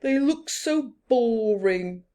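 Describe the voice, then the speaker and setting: A sorry-for-himself rhinoceros from a children's storybook, voiced by a woman. He complains with heavy emphasis, fed up and down on himself. The pace is unhurried. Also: fairly high pitch, expressive, warm tone. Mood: fed up, complaining. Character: glum self-critical male rhinoceros, wistful and fed up.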